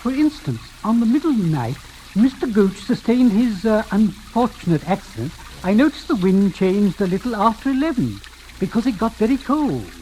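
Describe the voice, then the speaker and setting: An actor playing a sly, deferential manservant with formal, coolly polite phrasing and measured hesitations, in 1940s radio drama style. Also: male voice, high-pitched, very animated, darkish tone, slight background noise, poor audio. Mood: slyly insinuating.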